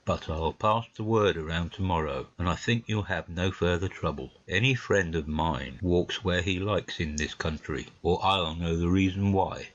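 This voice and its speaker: An actor playing a placid, wise old male badger, gruff and measured, in a dramatised audiobook. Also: deep voice, muffled recording. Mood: reassuring, placid. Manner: measured, storytelling, unhurried. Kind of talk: dramatised audiobook of classic fiction.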